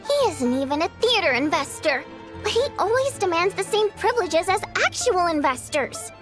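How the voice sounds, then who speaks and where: A very high-voiced, proud singer. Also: female voice, big pitch swings, normal speed, over music. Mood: indignant. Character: proud female theater singer, easily offended, indignant. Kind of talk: scripted video game dialogue.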